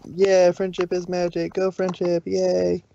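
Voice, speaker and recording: A man's voice: high voice, completely flat delivery, unhurried, gravelly, dark tone, muffled recording, a little hiss or hum. A man reading a story aloud as amateur narrator, sometimes stumbling over the words.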